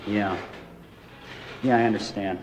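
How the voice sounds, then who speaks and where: An actor playing a jovial, coaxing and concerned buddy in naturalistic drama dialogue. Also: male voice, on the low side, fairly even intonation, unhurried, lightly acted.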